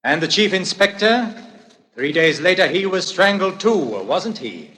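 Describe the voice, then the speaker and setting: An actor in a 1940s radio drama playing a volatile stage actor with a clipped, theatrical delivery. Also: male voice, sits high, animated, measured pace, bright, forceful tone, exaggerated voice. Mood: menacing. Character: weary, volatile stage actor who plays a menacing strangler. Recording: noisy old radio recording.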